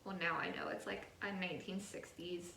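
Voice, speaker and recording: A woman's voice: lowish voice, level tone, a little fast, vocal fry, bright, forceful tone. A young woman in casual, chatty conversation about books, speaking informally with lots of filler words. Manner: informal, chatty, heavy use of "like". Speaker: book reviewer co-hosting a book tag chat. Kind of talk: casual chat. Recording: roomy echo, some background noise.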